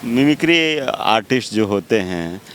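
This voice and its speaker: A man's voice: on the low side, lively intonation, a little slow, fairly bright tone, a little hiss or hum. A man hosting an informal live interview on location. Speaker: interviewer, host. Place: outdoors in a park.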